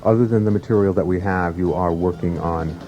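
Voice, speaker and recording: Low-voiced man interviewing casually on radio. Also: brisk, dull audio. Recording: archival 1980s radio, music behind.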